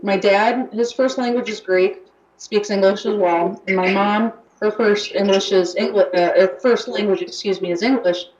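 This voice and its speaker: A woman's voice: mid-range voice, steady tone, moderate pace, darkish tone. A young American woman talking casually on a radio show, chatty with many fillers and restarts. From United States. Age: young adult. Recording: roomy, muffled radio recording.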